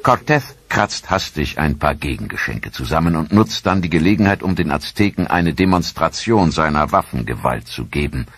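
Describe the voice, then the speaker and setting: A man narrating a documentary in a dramatic, expressive scripted manner with rhetorical questions. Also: a bass voice, moderate pace, few pauses, faint music behind.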